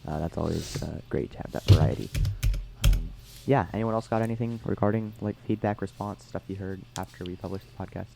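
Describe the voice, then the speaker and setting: A young man co-hosting a casual podcast, talking haltingly with many fillers, his voice tired after a concert. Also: sits low, steady tone, creaky, noisy background. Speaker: podcast co-host. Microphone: headphones on, remote call.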